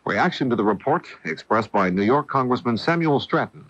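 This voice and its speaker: A man's voice: medium pitch, lively intonation, brisk, fairly bright tone, no echo. An American man reading scripted news as a radio anchor in a 1980 broadcast style. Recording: dull, dry vintage 1980 radio broadcast.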